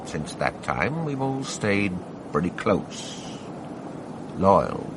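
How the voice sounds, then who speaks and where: An actor playing a hard-nosed, middle-aged American Hollywood veteran in a radio drama, wry and guarded, with a laconic, folksy drawl. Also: male voice, low-pitched, very animated, drawn-out words, heavily acted. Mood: reflective. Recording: noisy archive radio broadcast with ambience.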